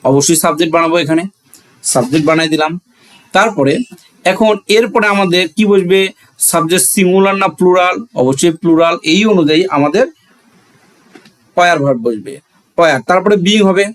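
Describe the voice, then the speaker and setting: A man's voice: high-pitched, normal speed, pauses often. A man with a Bangladeshi accent teaching a grammar lesson, asking questions and answering them himself, repeating phrases for emphasis.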